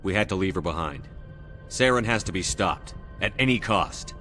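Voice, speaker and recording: Low-voiced, stern commander. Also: male voice, animated, normal speed. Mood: firm, grim. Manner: terse, firm, commanding. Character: stern, resolute male military starship commander. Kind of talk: video game dialogue. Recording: loud music behind the voice.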